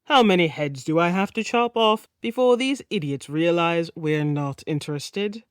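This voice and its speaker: Flamboyant male Nordic king, sing-song accent, teasing and gushing, low and dry; woman narrator doing the voice. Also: expressive, normal speed, clean tone, no room sound, lightly acted. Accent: Nordic, put on. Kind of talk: audiobook character voice.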